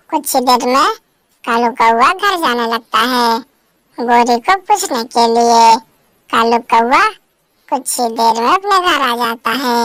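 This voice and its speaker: A woman's voice: high voice, pushed and bright, no echo. A woman narrating a scripted children's cartoon story about animals.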